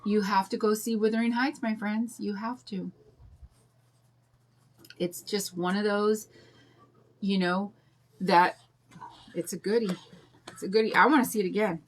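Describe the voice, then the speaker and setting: An older woman with a raspy voice and a drawl, chatting in a rambling way and greeting viewers while she demonstrates a craft on a livestream. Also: medium pitch, fairly even intonation, a little slow, long pauses. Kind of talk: casual chat during a craft demo.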